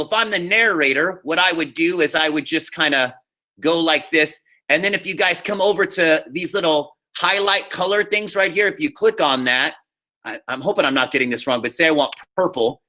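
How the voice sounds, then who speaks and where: Male teacher for an online walkthrough: fairly high, very bright voice, informal and instructive, checks in with listeners. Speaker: high school theater teacher. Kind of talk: live online class instruction, screen-share walkthrough.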